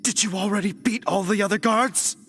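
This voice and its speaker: A man's voice: high voice, brisk, sharp tone. A man voicing a nervous, wavering guard who bluffs bravery in a video game. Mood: alarmed, bluffing. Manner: hesitant, wavering.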